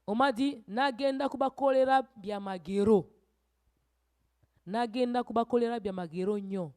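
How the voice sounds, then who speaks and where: A woman's voice: a little high, normal speed. Woman preacher exhorting a congregation. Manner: preaching with rising, shouted exhortations and quieter asides. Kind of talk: sermon teaching. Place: church.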